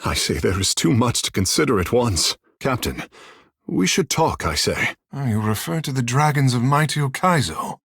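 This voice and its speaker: An actor voicing a vain, flirtatious sea-folk water shaper in a video game, with theatrical, languid, florid delivery. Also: male voice, mid-range voice, expressive, moderate pace, creaky, fairly bright tone, slightly rough, dry recording, studio quality, heavily acted. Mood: musing. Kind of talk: video game character dialogue.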